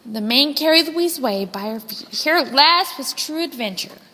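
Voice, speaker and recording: A woman reading a children's story as scripted narration, with expressive, deliberate phrasing. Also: sits high. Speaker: storybook reader. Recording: long hall-like reverb.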